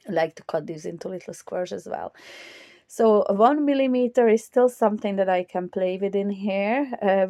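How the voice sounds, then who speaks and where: A woman talks through her craft project in a chatty, enthusiastic way, her mellow voice now and then strained as it recovers. She is recorded close and dry, with no room sound around her. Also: medium pitch, dry recording. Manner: chatty, enthusiastic, step-by-step explaining. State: voice recovering from loss, occasionally strained. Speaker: craft tutorial host. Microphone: close voiceover mic.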